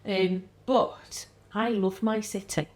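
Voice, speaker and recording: A podcast guest, a woman whose mild Liverpool-area accent colours her speech, talks in an easy conversational way. Her voice sits at a middle pitch, and she slips into little acted-out voices when retelling what people said to her. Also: takes it slowly. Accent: mild Liverpool-area English. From Liverpool area, England. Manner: animated, acts out quoted voices, frequent laughs. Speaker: guest, wellness business founder.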